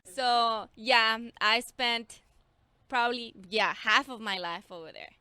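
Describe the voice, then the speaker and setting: Bright, clear-voiced Mexican-accented woman. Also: fairly high pitch, takes it slowly, dry recording. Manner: chatty, laughs easily, quotes herself. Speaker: guest, teacher. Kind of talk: casual podcast conversation.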